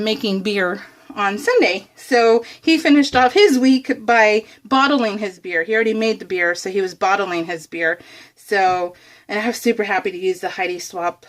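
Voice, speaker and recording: A woman's voice: mid-range voice, fairly bright tone. A woman chatting casually and bubbly as a craft vlogger, rambling along with a giggly manner. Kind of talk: casual chat while showing scrapbook layouts. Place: indoors, room at home.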